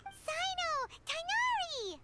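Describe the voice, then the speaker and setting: Squeaky, excitable little fairy. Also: female voice, slow pace, heavy background noise, heavily acted. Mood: bright, eager. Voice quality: squeaky, childlike. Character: tiny chirpy childlike fairy companion, excitable and earnest. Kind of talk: video game voice acting.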